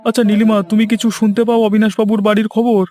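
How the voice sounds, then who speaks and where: A man voicing an anxious, gossiping village servant and husband in a cartoon, talking in an excitable, pleading way. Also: high-pitched, fast, faint music behind, voice pushed up, close to an everyday voice. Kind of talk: cartoon voice acting.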